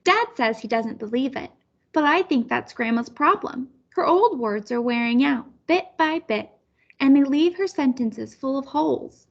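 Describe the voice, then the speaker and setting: A woman's voice: sits high, lively intonation, moderate pace, vocal fry, dull audio. A woman reading a children's picture book aloud as a storyteller. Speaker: storybook reader.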